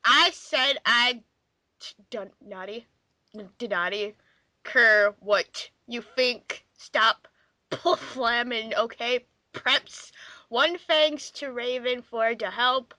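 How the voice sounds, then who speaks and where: A woman reads aloud for comedy in a high, bright voice. Her delivery is exclamatory and theatrical, and the sound is close and dry. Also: slow, dry recording. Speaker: player in a dramatic reading game. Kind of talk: dramatic comic reading aloud among friends.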